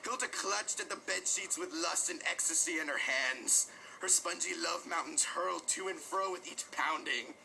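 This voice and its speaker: A man narrates in a mock-dramatic, overwrought style, stretching words for emphasis like a parody romance audiobook. His voice is a bit high, very bright and forceful, with a gravelly edge. There is some noise in the recording. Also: poor audio. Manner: overwrought, melodramatic, drawn-out emphasis. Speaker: reader of a comic dramatic reading.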